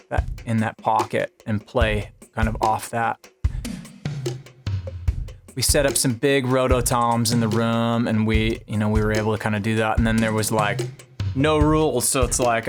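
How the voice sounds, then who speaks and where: A male musician with a mid-pitched voice talks casually about songwriting and recording. He rambles in a halting, conversational storytelling style. Music plays clearly behind him.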